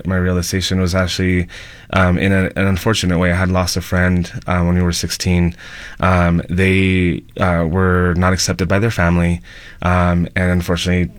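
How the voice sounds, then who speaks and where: A man speaking as a panel guest, telling a personal story casually in a halting, thoughtful way. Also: low voice, no room sound.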